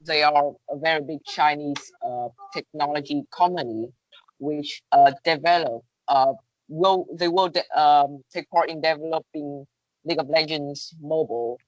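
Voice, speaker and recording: A woman's voice: deep voice, no echo, muffled recording. A woman whose first language is Vietnamese, answering interview questions in English for a contest, hesitant and full of fillers. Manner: hesitant, searching for words, many fillers.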